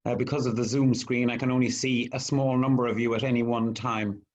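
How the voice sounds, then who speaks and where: A man's voice: medium pitch, level tone, a little fast, a little mellow. Man, Dublin Irish accent, hesitant, guest speaker, muffled video call audio. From Ireland. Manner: hesitant, lots of ums and restarts. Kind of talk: casual opening remarks on a video call.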